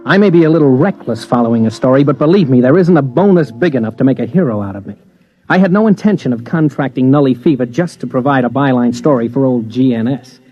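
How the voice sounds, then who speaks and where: An actor playing a brash, wry news reporter in old-time radio drama, casual and hard-boiled. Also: male voice, medium pitch, expressive, fast talker, warm tone, close to an everyday voice. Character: brash, cocksure male news reporter narrating his exploits. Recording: muffled old radio recording.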